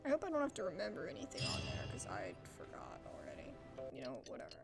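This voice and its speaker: A woman's voice: rumbling low, huge pitch swings, fast talker, gravelly, over loud music. A woman hosting a gaming video with casual playthrough commentary, informal and wry, often trailing off into muttered asides.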